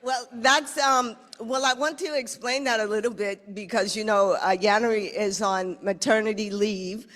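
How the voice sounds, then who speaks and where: A woman's voice: medium pitch, steady tone, a little slow, hardly any pauses, fairly bright tone, big-room sound. A woman speaking as executive director to her staff, hesitant and self-deprecating about her Spanish. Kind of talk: speech to staff.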